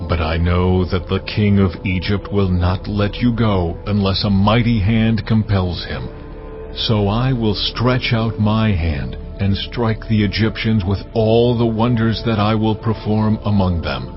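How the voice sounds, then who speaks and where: An actor playing God as a solemn, commanding divine voice, proclaiming slowly and with weight. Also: male voice, lowish voice, close to an everyday voice. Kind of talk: dramatized scripture reading. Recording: muffled, over loud cinematic music.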